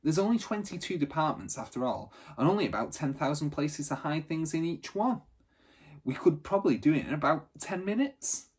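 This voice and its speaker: A man's voice: fairly high pitch, lively intonation, moderate pace, slightly rough, pitched above the performer's own voice. A man voicing an outspoken, practical young girl with a sarcastic streak in a children's storybook.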